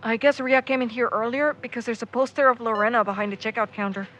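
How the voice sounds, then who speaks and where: A woman's voice: on the high side, fairly even intonation, fast, fairly bright tone, slight background noise, close to an everyday voice. An actress playing a devout, defensive young woman in an audio drama, earnest, frustrated and sweary. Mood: flustered. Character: devout, defensive young woman, grieving her missing friend. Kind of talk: naturalistic audio drama acting.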